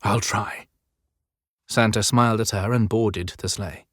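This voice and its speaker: A man narrating a fantasy audiobook as scripted storytelling, with measured, clearly phrased delivery. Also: lowish voice, fairly even intonation, fairly bright tone, no room sound, studio quality.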